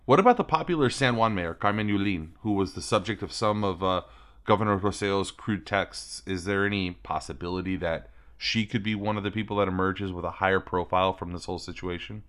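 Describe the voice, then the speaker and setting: Deep-voiced male podcast co-host. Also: brisk, a bit bright. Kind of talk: mock news interview and casual chat.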